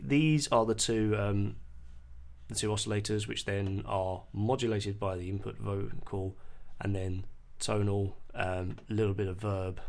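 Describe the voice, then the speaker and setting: A man explaining music software in an unscripted tutorial, hesitant and pausing mid-sentence as he goes. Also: fairly low pitch, creaky. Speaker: music producer giving a tutorial. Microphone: voice-over on a screen recording.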